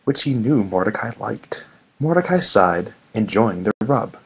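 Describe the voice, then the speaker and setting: A man's voice: lowish voice, expressive, measured pace, slightly rough, rough recording. A man doing a comedic reading of fan fiction in a mock-serious manner with sarcastic asides.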